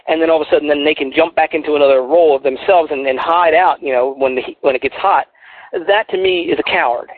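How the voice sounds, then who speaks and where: Radio guest, male, faint Philly accent, higher voice, calling in over a dry, tinny phone line; earnest and rambling. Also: no room sound. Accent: faint Philadelphia. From Philadelphia. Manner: earnest, rambling, many restarts. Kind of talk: call-in radio talk.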